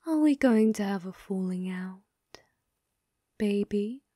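A woman playing a young, possessive and sadistic yandere captor in an ASMR roleplay, taunting in a mockingly sweet, breathy, cooing way. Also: mid-range voice, lively intonation, slow pace, dark tone, no room sound, clean studio sound. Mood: cold threatening. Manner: taunting, teasing, cooing, breathy drops to whisper.

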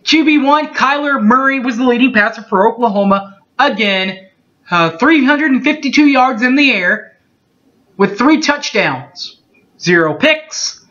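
A man in his thirties reading through postgame football stats from his bedroom, steady and methodical, with small slips he corrects as he goes. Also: very high voice, measured pace. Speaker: sports fan recapping a game. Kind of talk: webcam postgame stats recap. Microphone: webcam mic. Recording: dull lo-fi webcam audio.

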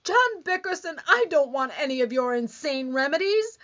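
A woman's voice: high voice, big pitch swings, normal speed, a bit bright. A woman acting a needy, easily wounded, nagging wife in an old-time radio comedy, with whiny, dramatic complaining. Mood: indignant. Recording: dull, lo-fi band-limited sound.

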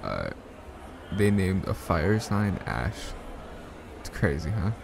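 A man acting a shy, smitten young man in an ASMR roleplay, speaking softly and hesitantly with bashful stammers. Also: sits low, sing-song, slow, gravelly, background noise, full character voice. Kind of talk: ASMR roleplay, soft one-sided conversational acting.

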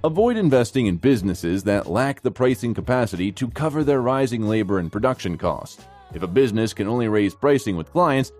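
A man's voice: medium pitch, darkish tone. A man reading scripted narration as the narrator of a finance explainer video. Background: background music.

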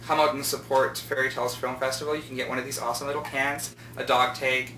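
A man's voice: mid-range voice, level tone, fast, bright, forceful tone. A man in his twenties casually presenting and interviewing a guest. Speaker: interview host. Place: small office, indoors. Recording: roomy, distant mic, faint noise.

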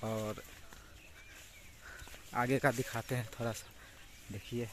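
Slow-paced young Bihari man, noisy outdoors. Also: medium pitch, little pitch movement. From Bihar, India. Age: young adult. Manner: repetitive, explanatory, pointing things out. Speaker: vlogger. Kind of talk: casual farm tour narration. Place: open farm fields outdoors. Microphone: handheld phone. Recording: outdoor phone recording with clear background noise. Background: outdoor rural ambience.

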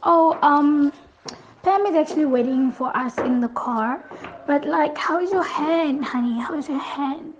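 A woman's voice: sits high, unhurried. A woman playing a doting, worried partner in an amateur audio drama, fussing and repeating herself. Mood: concerned. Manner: fussing, repetitive. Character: doting, worried female partner of injured man. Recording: dull lo-fi recording, faint noise, clicks.

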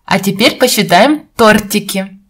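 A woman's voice: medium pitch, lively intonation, measured pace, a bit bright, slightly rough. A woman narrating an educational video for young children in an encouraging, sing-song teacher's manner, putting questions to the viewer. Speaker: presenter of a children's counting video.

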